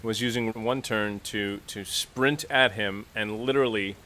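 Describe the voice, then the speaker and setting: A man's voice: fairly low pitch, fairly even intonation, a little slow, pushed and bright, a little hiss or hum. A man talking casually as a player in a tabletop role-playing game.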